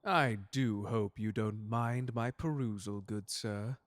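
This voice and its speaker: A man acts out a gentleman butler for an audio drama. He is formal and precise in his wording, unflappable and courteous, with menace sitting quietly underneath. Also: lowish voice, slow, dry recording. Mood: smooth menace. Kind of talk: character audio roleplay drama.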